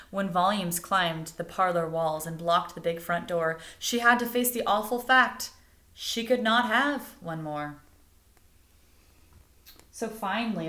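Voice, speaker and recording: A woman reading a picture book aloud like a teacher, expressively, pausing to explain things and put questions to young listeners. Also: fairly low pitch, sharp tone. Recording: roomy, echoing indoor sound.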